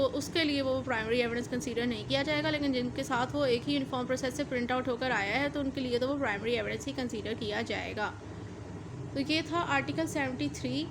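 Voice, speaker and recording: A woman's voice: high-pitched, background noise. A woman with a Pakistani accent giving an explanatory law lecture, mixing in English terms and rephrasing each point step by step.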